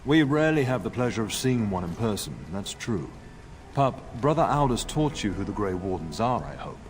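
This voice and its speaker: Lowish-voiced fatherly noble lord. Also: sing-song, normal speed. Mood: explaining. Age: middle-aged. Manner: stately, assured, fatherly. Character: grey-haired noble father, warm, authoritative lord. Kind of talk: scripted fantasy game dialogue.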